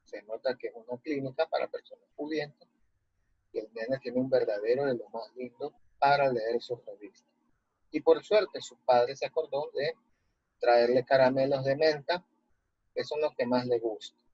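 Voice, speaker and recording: Husky Latin American man teaching. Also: mid-range voice, moderate pace, dry recording. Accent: Latin American Spanish. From Ecuador. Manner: didactic, checking with rhetorical questions. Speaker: high school language and literature teacher. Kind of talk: online lesson, explaining and reading a text aloud. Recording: muffled lo-fi audio, very dry.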